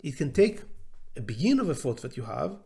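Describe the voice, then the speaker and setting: A man whose first language is Hebrew, teaching a class in a measured, deliberate way, pausing often and repeating words as he thinks. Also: a little high, sing-song, gravelly, warm tone. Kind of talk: recorded lecture to students.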